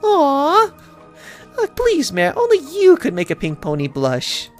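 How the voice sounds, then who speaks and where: Man putting on a high-pitched, excitable cartoon pony mare. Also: slow pace, faint music behind, heavily acted. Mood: cheerful. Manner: gushing, exclamatory. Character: hyper, excitable pink cartoon pony mare, party-loving.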